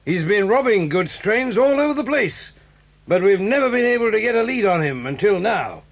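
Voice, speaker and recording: An actor playing a brisk, friendly male police inspector who speaks in a firm, official way. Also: high-pitched, big pitch swings, exaggerated voice. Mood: genial.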